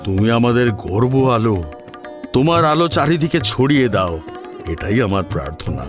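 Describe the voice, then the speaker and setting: A man plays a kindly, dignified headmaster with a grave manner. His mid-pitched voice moves in lively swells as he speaks in an audio story drama, with music underneath. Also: normal speed, natural voice. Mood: proud, tender. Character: grave, kindly male school headmaster praising his pupil.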